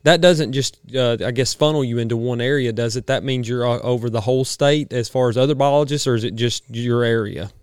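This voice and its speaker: A man's voice: mid-range voice, darkish tone, smooth, dry recording. Folksy man hosting in a studio. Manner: folksy, halting with restarts. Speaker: podcast host. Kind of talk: casual interview chat. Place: small studio.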